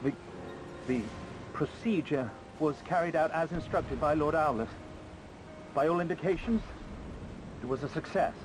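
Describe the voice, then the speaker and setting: Game underling played by a man: anxious, subservient soldier, high voice, formal report, noise behind. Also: normal speed, long pauses, close to an everyday voice. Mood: nervous deference. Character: anxious, deferential soldier reporting to his lady commander. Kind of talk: dramatic video game cutscene acting.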